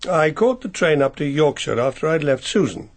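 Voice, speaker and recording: An English actor in a British radio drama playing a courteous older family solicitor with a formal, politely understated manner. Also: male voice, fairly high pitch, animated, normal speed. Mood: polite, measured. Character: courteous, discreet older male family solicitor, dryly tactful.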